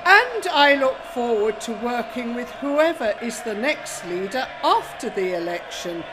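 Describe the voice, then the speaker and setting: A woman's voice: on the high side, sing-song, measured pace. A woman playing a scornful Leader of the Opposition, making a pointed, formal parliamentary speech in a radio drama. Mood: triumphant, cutting. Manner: pointed, declamatory. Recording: noisy chamber with crowd murmur.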